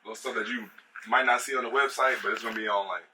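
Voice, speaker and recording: A man's voice: medium pitch, fairly even intonation, quick pace. A young American man chatting casually and off the cuff, with a laid-back, slangy way of talking. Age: young adult. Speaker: athlete and clothing brand founder. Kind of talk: casual unscripted chat. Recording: clearly noisy background with clicks.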